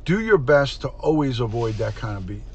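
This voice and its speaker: A man's voice: mid-range voice, big pitch swings, normal speed, vocal fry. Middle-aged guy on a livestream, congested and sniffly, chatting with viewers, plain mid voice, bit of noise behind. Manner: chatty, greets and answers chat viewers by name. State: congested, stuffed-up nose, sniffling. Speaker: retired police detective, livestream host. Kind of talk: casual livestream chat with viewers.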